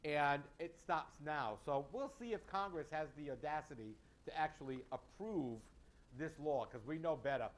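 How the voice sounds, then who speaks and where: Indignant male political commentator. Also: on the high side. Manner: indignant, emphatic, uneven pacing. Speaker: co-host.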